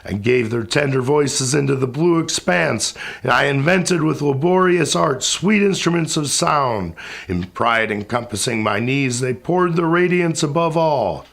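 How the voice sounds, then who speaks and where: A man's voice: mid-range voice, expressive, a little slow, gravelly, fairly bright tone, pitched above the performer's own voice, exaggerated voice. A man playing a wrathful, sorrowing ancient spirit king in a recited epic poem, speaking in a grand, commanding, declamatory way. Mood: lamenting. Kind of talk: dramatic epic verse recitation.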